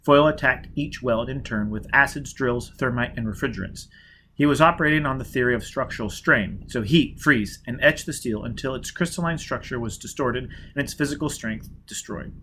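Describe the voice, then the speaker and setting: Man, audiobook narrator, steady dramatic reading, bright voice, faint hiss. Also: medium pitch, a little fast. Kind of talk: fiction narration.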